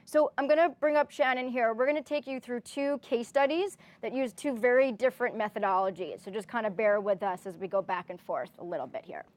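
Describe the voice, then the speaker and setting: A woman presents at a conference in a fairly high-pitched voice. She talks informally and conversationally, often tagging points with a questioning "right?". The sound is dry, with very little room in it. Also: brisk, hardly any pauses, no echo. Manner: conversational, frequent "right?" check-ins.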